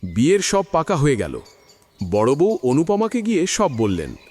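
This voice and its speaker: A man narrating an audio drama in scripted, expressive storytelling. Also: fairly high pitch, moderate pace, breathy edge.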